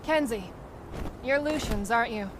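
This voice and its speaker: A woman's voice: on the high side, normal speed, noisy background, close to an everyday voice. An actress playing a defiant, distrustful local woman in a fantasy video game, blunt and sarcastic in her speech. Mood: wary. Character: defiant, sardonic anti-authority local woman, distrustful of outsiders. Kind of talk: game cutscene acting.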